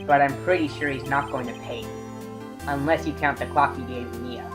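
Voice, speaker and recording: A man's voice: mid-range voice, steady tone, brisk, over music, lightly acted. A man playing an earnest, exasperated young rookie defense lawyer in an amateur video game fandub. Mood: reflective narration.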